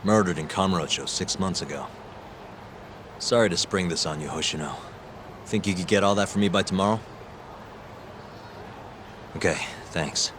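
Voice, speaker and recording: Deep-voiced, cool investigator played by a man. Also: moderate pace, long pauses, noisy background, lightly acted. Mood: cool, direct. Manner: casual, direct. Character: cool, plain-spoken male investigator, sharp and direct. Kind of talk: naturalistic video game cutscene acting. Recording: music behind the voice. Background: background music.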